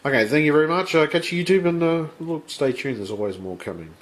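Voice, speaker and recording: A man with blocked sinuses, talking off camera at a home workbench in a rambling, halting way that breaks into swearing when he is frustrated. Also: a little high, animated. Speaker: channel host. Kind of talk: casual vlog.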